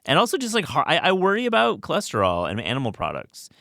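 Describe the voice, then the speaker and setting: A man's voice: fairly high pitch, huge pitch swings, fast, gravelly, fairly bright tone, dry recording, studio quality. A man hosting a podcast in casual interview chat, informal and conversational, readily agreeing with his guest. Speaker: podcast host.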